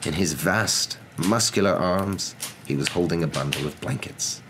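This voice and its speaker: A man's voice: low-pitched, lively intonation, moderate pace, fairly bright tone, a little hiss or hum. A young man narrating a storybook in an ASMR reading. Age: young adult. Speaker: storybook reader.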